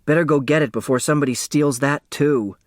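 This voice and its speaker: A man voicing a brash, sharp-tongued little sister in an audiobook, blunt and combative. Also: fairly high pitch, brisk, no echo, studio quality, voice pushed up, close to an everyday voice. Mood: cocky, needling.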